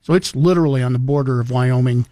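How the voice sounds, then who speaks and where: A man's voice: mid-range voice, fast talker, mellow, dry recording. A man co-hosting a casual morning radio show, chatty and storytelling, laughing often. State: recovering from lost voice.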